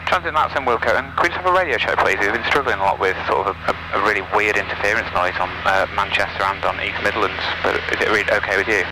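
Young guy pilot doing quick radio calls, lowish voice, noisy cockpit headset. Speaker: private pilot. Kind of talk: clipped aviation radio calls. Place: inside a small aircraft in flight. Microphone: aviation headset. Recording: noisy light aircraft cockpit intercom. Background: engine and radio noise.

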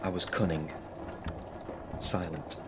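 An actor playing a cunning, sinister murderer in a gothic audio drama monologue, in halting, deliberate phrases. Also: male voice, low voice, flat delivery. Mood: menacing, secretive. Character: cunning, sinister murderer recounting his stealthy crime. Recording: clear background noise.

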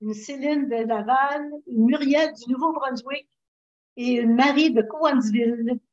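A high-pitched woman hosts casually, passing questions along. Her voice comes through a video call with a dull, lo-fi sound. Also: unhurried, darkish tone. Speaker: session moderator relaying questions. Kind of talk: casual hosting.

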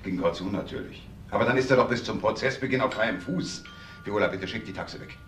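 An actor playing a nervous grown son in a crime drama, pleading in an urgent, halting way. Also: male voice, lowish voice, fairly bright tone, faint music behind, close to an everyday voice. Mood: anxious, pleading. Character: nervous, pleading adult son of wealthy family. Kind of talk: German crime drama acting. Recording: roomy sound, faint background noise.

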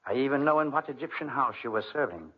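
An actor playing a sly, manipulative older guardian uncle in an insinuating, coaxing 1940s radio drama style. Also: male voice, mid-range voice, lively intonation, quick pace, husky. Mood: sly persuasion. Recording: muffled vintage 1940s radio recording.

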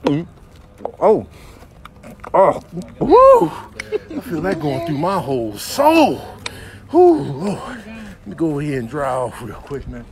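High-pitched, animated man doing comic voiceover. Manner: exclamatory, slangy, drawn-out interjections. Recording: clear background noise.

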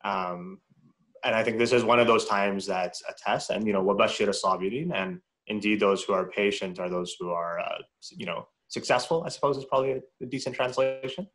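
A man's voice: lowish voice, fairly bright tone. A young adult man co-hosting a podcast interview, rambling with lots of fillers. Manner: fast, rambling, many fillers. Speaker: podcast co-host.

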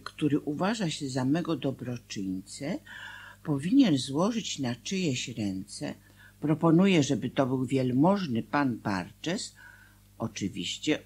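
An actress in a radio drama playing an anxious, pious, loving middle-aged wife with a breathy voice. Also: female voice, rumbling low, full character voice. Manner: expressive, agitated. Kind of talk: Polish radio drama acting.

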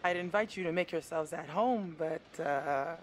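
A woman's voice: low-pitched, a little slow, slight background noise. A woman acting a wary, practical boat skipper in a video game, frank and guarded. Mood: dry, unimpressed. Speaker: boat captain and dive guide.

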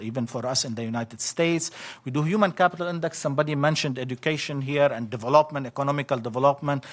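A middle-aged man giving an academic conference talk in short, halting phrases with frequent fillers. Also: mid-range voice, a bit bright. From Lebanon. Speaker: conference speaker. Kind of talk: academic slide presentation.

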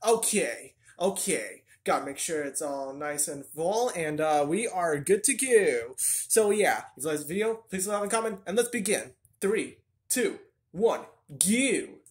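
A person voicing an excitable young turtle plush reacting to a video in halting, repeated exclamations. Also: mid-range voice, close to an everyday voice. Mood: excited. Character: young male Bowser Junior plush reacting, excitable, earnest. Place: indoor room at home. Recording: music from laptop behind the voice.

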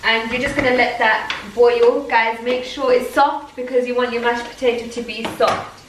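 A woman's voice: a little high, fairly even intonation, normal speed, noisy background. A young woman, chatting casually and explaining steps as she cooks in her home kitchen. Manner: chatty, instructive, playful. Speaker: vlogger cooking. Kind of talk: casual cooking vlog. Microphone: camera mic from across the kitchen. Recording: roomy kitchen echo, distant camera, sizzling pan. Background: frying and stirring sounds.

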